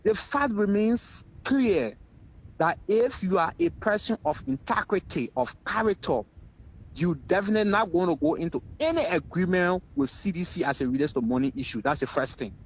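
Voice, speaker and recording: A Liberian man speaks in a high, very animated voice. He argues politics with heat, hammering points home by repeating them. The sound is dull and lo-fi, like call audio. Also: moderate pace. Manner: emphatic, repeats phrases for effect. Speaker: political talk show guest. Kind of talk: heated political commentary.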